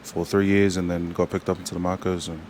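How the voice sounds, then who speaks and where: A young man with a New Zealand accent, a rugby player chatting as a guest in a casual interview, halting and full of fillers and restarts. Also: deep voice, little pitch movement, a little mellow, a little hiss or hum.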